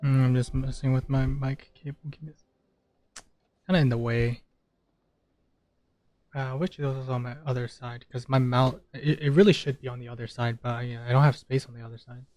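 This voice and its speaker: A man's voice: medium pitch, little pitch movement, normal speed, long pauses, darkish tone. A man giving casual, off-the-cuff commentary on a game he plays, with hesitant, dryly contrarian asides. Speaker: gamer commenting on playthrough. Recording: game music behind the voice.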